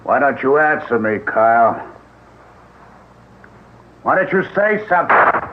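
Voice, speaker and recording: An actor playing a deranged man threatening people with a gun, calm and menacing, in a radio drama. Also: medium pitch, unhurried, heavy background noise, natural voice. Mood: taunting. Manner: cold, calmly threatening. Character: menacing deranged male killer, middle-aged. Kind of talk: 1970s radio drama acting. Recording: tinny lo-fi old radio broadcast.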